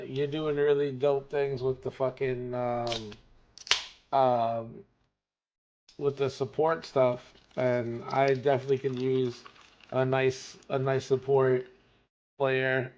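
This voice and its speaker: A man's voice: mid-range voice, darkish tone, dull audio. A man streaming games who is losing his voice, chatting casually with viewers and rambling with many fillers. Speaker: gaming streamer.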